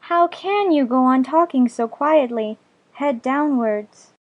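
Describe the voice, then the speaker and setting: Guy doing a squeaky polite little girl, earnest, storybook. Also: slow pace, lo-fi sound, full character voice. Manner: high falsetto, polite and earnest. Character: polite curious young girl.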